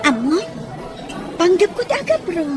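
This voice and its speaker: A woman's voice: very high voice, big pitch swings, normal speed, over loud music, over a noisy crowd. A woman playing an ambitious, poor teenage Filipina with an Ilocano accent who dreams of a better life, in an audio drama. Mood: determined, worried. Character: ambitious poor young Filipina, sixteen, dreaming of marrying a foreigner.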